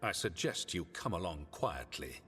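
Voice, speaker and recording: A stern, dismissive commander in a game cutscene, pompous and authoritative. His voice is low and sharp with animated intonation. It rings in a long reverb with music behind it. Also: moderate pace, heavily acted. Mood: grave, condescending. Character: stern, dismissive male military commander of a warrior order. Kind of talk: dramatic video game dialogue.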